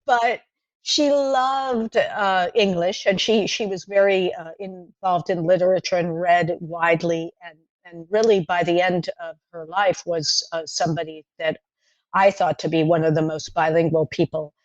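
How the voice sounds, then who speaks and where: A middle-aged woman whose first language is French, recalling her childhood in a podcast interview, talking in a thoughtful, halting way with many fillers and restarts. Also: on the low side, fairly even intonation, dry recording, highs cut off. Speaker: playwright guest.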